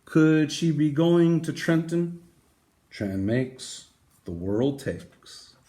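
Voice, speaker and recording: Male storybook reader, slow pace, very lively pitch, dramatic emphasis, deliberate pauses. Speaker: reader reading a picture book aloud. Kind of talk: expressive storybook read-aloud.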